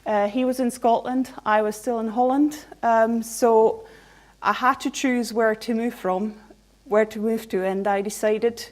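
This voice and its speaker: A woman's voice: fairly high pitch, steady tone, measured pace. A woman in her thirties from Switzerland with a Swiss accent, a researcher giving an informal conference presentation, candid and conversational with dry humor.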